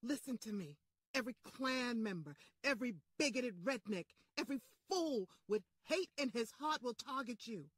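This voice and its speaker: A worried woman friend in a radio history drama, with a fairly high, husky voice. She is blunt, urgent and pleading. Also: animated, normal speed, fairly bright tone, no room sound, clean studio sound. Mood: urgent warning. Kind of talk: old-time radio style dramatic acting.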